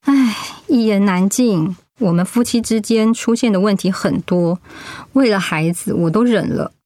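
A middle-aged wife and mother, played by a woman in a radio drama, proud and stubborn. She talks bluntly with a sardonic edge at a medium pitch. The sound is close and dry. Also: moderate pace, dark tone, no echo, close to an everyday voice. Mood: bitter, resigned.

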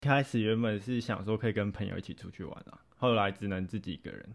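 A young man, a recent graduate chatting on a podcast, soft-spoken with brief agreeing replies. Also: lowish voice, quick pace, vocal fry. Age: young adult. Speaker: co-host, recent university graduate. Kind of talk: casual chat.

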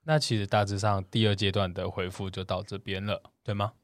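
A man's voice: fairly low pitch, flat delivery, brisk, dry recording. A man with a Taiwanese accent talking casually as a podcast co-host. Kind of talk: casual chat.

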